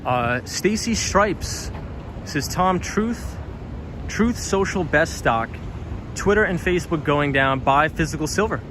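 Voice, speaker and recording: A man's voice: a little high, animated, slow pace. A man hosting a live stream outdoors on a windy city street at night, chatting casually and reading out viewer comments, his voice a little tired. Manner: friendly, reads out viewer comments. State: voice slightly tired. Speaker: livestream host reading chat. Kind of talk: casual live-stream chat while walking. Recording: street stream with clear music behind.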